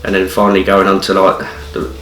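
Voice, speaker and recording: Hesitant young man, flat and distant. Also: low voice, fast talker. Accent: English. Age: young adult. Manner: hesitant, many fillers. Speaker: qualified apprentice. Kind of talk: casual interview answers. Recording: distant, roomy, with music.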